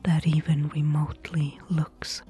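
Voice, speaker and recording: A woman playing a cautious adventurer in a soft-spoken ASMR roleplay, breathy, hushed and hesitant, speaking intimately. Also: low voice, little pitch movement, unhurried, faint music behind. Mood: pondering, hushed. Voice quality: breathy, whispery. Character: cautious female adventurer exploring an ancient desert temple.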